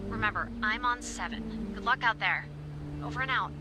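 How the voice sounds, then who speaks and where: A woman's voice: on the high side, moderate pace, heavy background noise, close to an everyday voice. An actress playing an earnest, businesslike female emergency dispatcher in a video game, talking in radio protocol. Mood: concerned, reassuring. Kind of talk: naturalistic video game dialogue over walkie-talkie.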